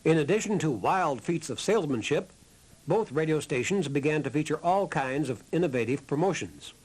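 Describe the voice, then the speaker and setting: A man's voice: a little high, lively intonation, normal speed, darkish tone, breathy edge, low-quality recording. A man reading a scripted historical documentary narration. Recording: narration over background music. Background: music.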